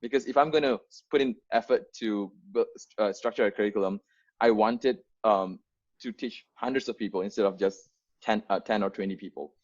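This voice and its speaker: A man's voice: lowish voice, a little fast, a little mellow. Earnest young man on a muffled call. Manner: earnest, frequent fillers and restarts. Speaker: founder giving an onboarding talk. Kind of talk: unscripted presentation to volunteers.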